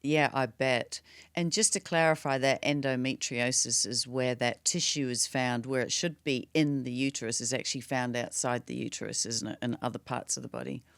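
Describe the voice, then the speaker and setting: A woman hosting a podcast, who welcomes her guest and asks questions in a warm way, sometimes restarting mid-question. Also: a bass voice, steady tone, normal speed, creaky, no echo, studio quality. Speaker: podcast host. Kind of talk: podcast interview.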